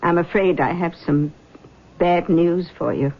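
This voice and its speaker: A woman's voice: lowish voice, measured pace. An actress playing a controlling, anxious middle-aged mother, speaking in a coaxing, fretful way in old-time radio drama style. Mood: grave. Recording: dull old radio recording.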